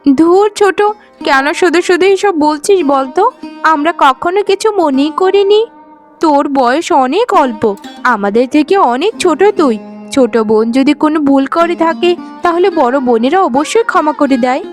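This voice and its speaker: A woman voicing a gentle, protective eldest co-wife in a cartoon family story. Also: sits high, expressive, normal speed, faint music behind. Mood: forgiving, soothing. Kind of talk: cartoon voice acting.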